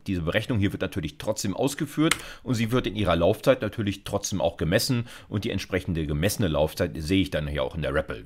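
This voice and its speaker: A man in his fifties with a very low voice and lively, swinging intonation. He lectures informally like a university teacher coding live, speaking conversationally and checking in with listeners often. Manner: conversational, with frequent "okay" and "ja" check-ins. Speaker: university computer science lecturer. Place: indoors, recorded at a computer desk.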